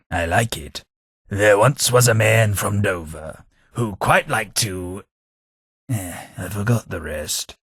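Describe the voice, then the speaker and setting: Plummy english ghoul toff, slow and lowish, dead dry booth sound. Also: male voice, a bit bright, husky, no room sound, heavily acted. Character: posh ghoulish male English gentleman companion, wry. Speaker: game companion. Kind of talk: game voice lines.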